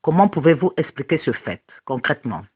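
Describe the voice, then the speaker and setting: A man's voice: on the high side, very animated, brisk, slightly rough. A male interviewer asking probing questions with some fillers. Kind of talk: journalistic questioning. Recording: tinny phone line.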